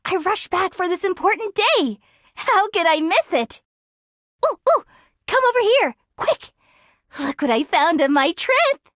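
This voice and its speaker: A woman voicing an excitable, adventurous young girl in an anime game. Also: very high-pitched, measured pace, dry recording, heavily acted. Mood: excited, urging.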